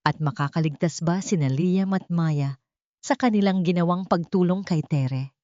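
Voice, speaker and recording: A woman narrates a dramatic story with theatrical gravity. Her voice sits on the low side, and the recording is very dry and a little dull. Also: normal speed, dark tone, no room sound. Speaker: audiobook narrator.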